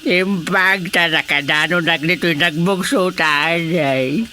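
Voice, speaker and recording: Radio comedy role: confused old Ilocano man, slow and stammering, bright-edged voice over faint background noise. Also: on the high side, heavily acted. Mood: flustered, faltering. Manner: halting, stammering, chuckling. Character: feeble, confused, rambling old village man. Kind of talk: radio comedy-drama acting.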